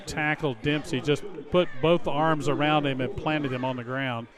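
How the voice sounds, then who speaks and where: A man's voice: fairly high pitch, fairly even intonation, moderate pace, crowd noise. A man giving live analytical commentary on a football game, explaining plays in a conversational way. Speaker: color commentator.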